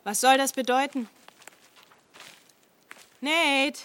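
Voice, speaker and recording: A woman's voice: high voice, extremely slow, a bit bright, slight background noise, heavily acted. An actress playing an anxious young woman in an audio drama, questioning and insistent. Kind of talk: German audio drama acting.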